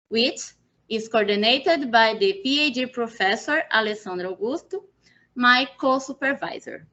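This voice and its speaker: Slow Brazilian woman on a video call. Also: fairly high pitch, pushed and bright. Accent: Brazilian Portuguese. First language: Portuguese. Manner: slow, halting non-native English with restarts. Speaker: presenter, PhD student. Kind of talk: webinar presentation. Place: small indoor room. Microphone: video call, laptop mic. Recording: muffled video call audio.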